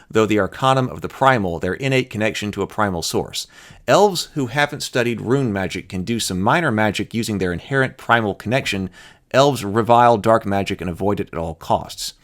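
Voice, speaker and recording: A man's voice: on the low side, animated, a little fast, a bit bright. A man presenting as a host, reading rules aloud and adding casual commentary of his own.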